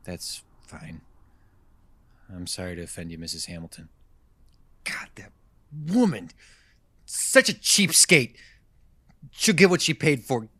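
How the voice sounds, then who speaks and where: A man's voice: on the low side, huge pitch swings, slow pace, long pauses, bright, forceful tone, no room sound, full character voice. Man acting frustrated mechanic, hesitant grumbler, radio drama, very varied intonation, dry recording. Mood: meek, apologetic, then angry. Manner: hesitant, grumbling, swearing to himself. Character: frustrated small-town male mechanic, bitter and muttering.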